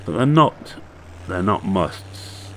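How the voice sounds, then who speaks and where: A man in his sixties talks through his farming game in a relaxed, rambling way, pausing on fillers as he goes. His voice sits very low and sounds hoarse and croaky. A faint engine hum runs under his voice. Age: 60s. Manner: unhurried, rambling, halting with fillers. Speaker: farming game video maker. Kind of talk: casual gameplay commentary.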